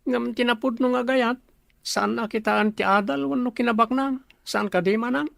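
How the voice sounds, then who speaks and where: A woman's voice: on the high side, fairly even intonation, normal speed, gravelly, a bit bright, no echo, clean studio sound. A woman playing a curious, teasing younger sister in a radio drama.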